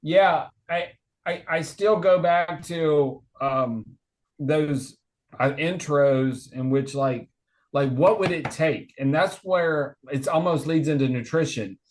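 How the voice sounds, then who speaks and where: A man's voice: a little high, takes it slowly, rough recording. A man in his forties, a podcast guest on a video call, telling stories in an earnest, rambling way. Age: 40s. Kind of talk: casual conversation on a video call. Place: home, remote video call. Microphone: video call microphone.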